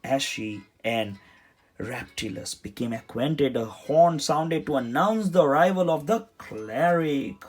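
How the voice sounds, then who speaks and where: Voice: medium pitch, animated, slow pace, darkish tone, breathy edge. A children's storybook reader giving a scripted read-aloud narration. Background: music under the voice.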